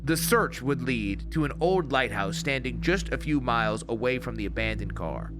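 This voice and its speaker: Formal male horror narrator over loud music. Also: medium pitch, lively intonation, normal speed. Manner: matter-of-fact, formal. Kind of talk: scripted horror documentary narration.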